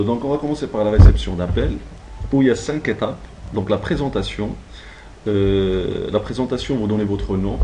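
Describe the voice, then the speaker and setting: A man's voice: fairly low pitch, mellow, a little hiss or hum, poor audio. A man giving an instructional training talk, explaining step by step in a didactic, semi-improvised way. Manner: didactic, step-by-step, checks understanding. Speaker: trainer teaching call-centre phone technique.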